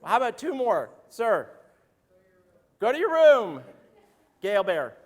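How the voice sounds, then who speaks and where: Hoarse rabbi in a reverberant sanctuary. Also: male voice, very high voice, big pitch swings, slow. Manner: chatty, joking, calls on congregants by name. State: slightly hoarse voice. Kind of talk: interactive sermon with the congregation. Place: synagogue sanctuary. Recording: roomy hall reverb.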